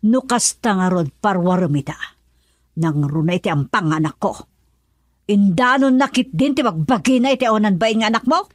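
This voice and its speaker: An indignant mother from a radio drama played by a woman, scolding and accusing. Her mid-pitched voice is recorded very dry, and she presses each point insistently. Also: animated, moderate pace, no echo, natural voice. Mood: angry, accusing. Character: indignant, accusing mother of a runaway young daughter. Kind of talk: Ilocano radio drama acting.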